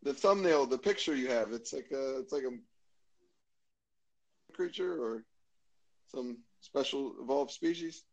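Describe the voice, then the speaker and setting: An American man from Maryland, a guest in a casual interview, talking in a rambling, halting way. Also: mid-range voice, lively intonation, gravelly, a little mellow, rough recording. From Maryland, USA. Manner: rambling, halting, lots of fillers and restarts.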